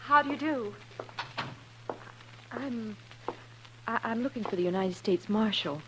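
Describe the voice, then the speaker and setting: A woman's voice: on the high side, expressive, a little slow. An actress playing a trusting, genteel young lady in a 1950s radio western, speaking politely and earnestly. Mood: polite, hesitant. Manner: polite, soft-mannered, earnest. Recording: noisy 1950s radio recording with hum.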